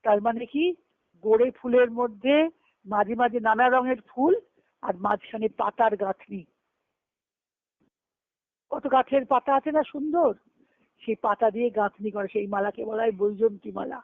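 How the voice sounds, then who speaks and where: A woman's voice: on the high side, fairly even intonation, normal speed, pauses often, no room sound. A woman gives an extempore devotional storytelling discourse on a conference call, explaining and rephrasing as she goes. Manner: explanatory, repeating and rephrasing phrases. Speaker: spiritual discourse speaker. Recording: dull lo-fi conference-call audio.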